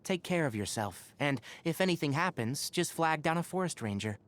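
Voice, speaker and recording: A man's voice: a little high, lively intonation, normal speed, fairly bright tone. A man voicing an earnest, wry young forest ranger scholar in a video game, speaking in an articulate, thoughtful, caring way. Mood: friendly, earnest. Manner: articulate, thoughtful, dryly opinionated. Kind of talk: scripted game character monologue.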